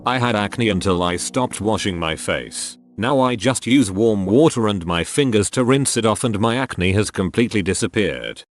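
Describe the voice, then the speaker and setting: A man's voice: lowish voice, expressive, normal speed, few pauses. A man narrating forum posts in a wry, scripted reading. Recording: clear music bed underneath.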